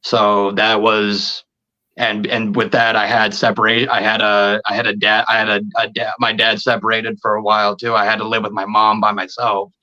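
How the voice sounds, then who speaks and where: A man in his thirties chatting casually as a podcast guest, halting and often repeating his words and phrases. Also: on the low side, little pitch movement, bright, forceful tone, lo-fi sound. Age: 30s. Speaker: podcast guest, video creator. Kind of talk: casual interview chat.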